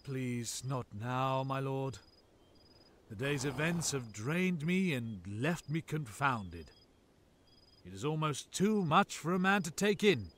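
Man playing slow, earnest royal companion. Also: medium pitch, animated, a bit bright, a little hiss or hum. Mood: drained, pleading. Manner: formal, deliberate. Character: loyal, earnest, weary royal companion of a king.

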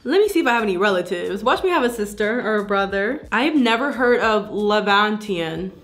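A woman's voice: mid-range voice, animated, fairly quick, a bit bright, soft background music. A woman in her twenties talking casually to camera in a vlog, joking and laughing often, filmed at home at arm's length. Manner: chatty, joking, frequent laughs. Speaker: vlogger. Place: home room and car. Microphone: camera or phone at arm's length.

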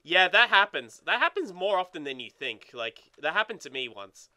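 A man co-hosting a let's play with casual, animated, sweary gaming commentary. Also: sits high, moderate pace.

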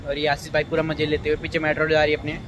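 A man's voice: on the high side, completely flat delivery, rapid-fire. A man, hosting a casual comedic vlog with playful banter. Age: 20s. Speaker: vlog host. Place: open rooftop in a city. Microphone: phone at arm's length. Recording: noisy outdoor phone audio with traffic.

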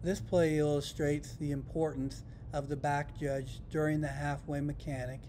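An American man reading scripted instructional narration for a training video in a matter-of-fact way. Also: mellow. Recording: rumbling background noise.

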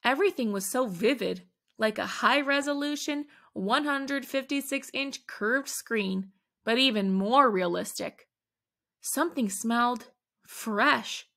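Woman storyteller for a kids' read-aloud: slow, slightly gravelly, expressive. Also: on the high side. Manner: animated, dramatic emphasis on exclamations. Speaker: children's book reader.

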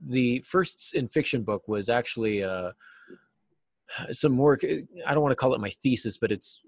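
A middle-aged American man giving informal, halting explanations as an interview guest on a video call. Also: mid-range voice, expressive, vocal fry, dry recording, studio quality. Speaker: interview guest, novelist and writing teacher. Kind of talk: casual interview answers. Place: small cluttered office, on a video call.

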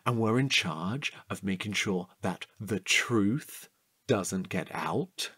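A husky, excitable, chatty watchman. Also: lowish voice, no echo, full character voice. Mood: musing, conspiratorial. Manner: halting, playful, rambling. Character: chatty, curious, excitable male night-watch guard. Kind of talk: naturalistic audio drama acting.

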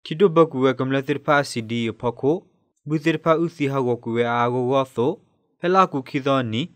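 A male news reader delivers a scripted radio bulletin in a medium-pitched voice. The sound is dry and close, with no room around it. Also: normal speed, no room sound.

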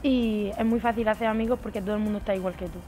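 A young woman from southern Spain, a student answering interview questions in a rapid, cheerful way. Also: medium pitch, fairly even intonation, warm tone, soft background music. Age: young adult. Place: residence lounge, indoors.

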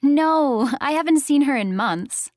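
Friendly woman, played by an actress for language-course dialogue: high voice, very animated, dry studio sound. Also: a little slow, dry recording. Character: friendly woman chatting with an acquaintance. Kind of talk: scripted textbook dialogue.

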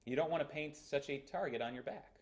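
A man's voice: on the high side, a little fast. Young man, American pastor, emphatic sermon delivery, small church, camera mic. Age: young adult. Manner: earnest, emphatic preaching with hand gestures. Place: small church sanctuary, indoors. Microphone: fixed camera at the front of the church.

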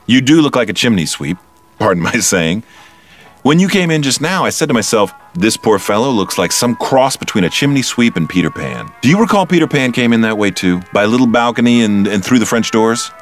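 An actor playing a smug, condescending criminal mastermind in an audio drama, with a suave, theatrical delivery that stretches out words. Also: male voice, medium pitch, very animated, a little fast, soft background music, lightly acted. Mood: mocking, amused.

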